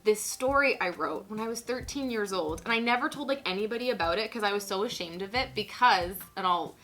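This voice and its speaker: A woman in her twenties hosting a casual comedic vlog, giggly, animated and self-mocking, sometimes mock-solemn as she reads aloud. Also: medium pitch, a little fast. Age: 20s. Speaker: vlog host reading own teenage writing. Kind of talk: casual comedic vlog chat with dramatic reading.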